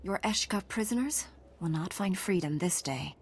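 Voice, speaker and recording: An actress playing a composed, resolute Jedi knight in a video game, with a measured, assured delivery. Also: female voice, on the low side, expressive, breathy edge. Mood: calm, resolute.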